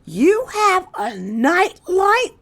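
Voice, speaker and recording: A man's voice: very high voice, wildly swooping pitch, very slow, creaky, a bit bright, pitched above the performer's own voice, full character voice. A man voicing a polite, particular little boy mouse in a storybook. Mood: polite, curious.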